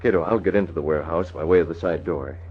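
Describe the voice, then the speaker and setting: An actor playing a calm, commanding masked crimefighter in an old-time radio drama, speaking deliberately. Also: male voice, low-pitched, natural voice. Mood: determined. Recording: dull, noisy vintage radio recording.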